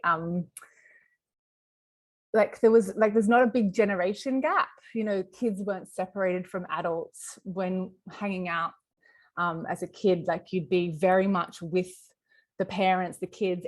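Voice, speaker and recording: A woman from Western Sydney with an Australian accent, chatting informally in an interview and thinking aloud as she goes. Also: lowish voice. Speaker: company executive being interviewed. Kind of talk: casual interview chat.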